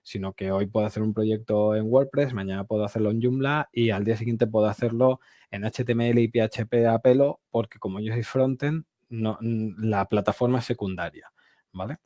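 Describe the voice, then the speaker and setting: Guy from barcelona chatting on a video call, lowish voice, dry close sound. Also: fairly even intonation, fairly quick, mellow, dry recording. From Barcelona, Spain. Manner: chatty, explanatory, laughs easily. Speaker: webinar guest speaker. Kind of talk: casual presentation and chat. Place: home office, indoors. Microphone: video call with earbud headset.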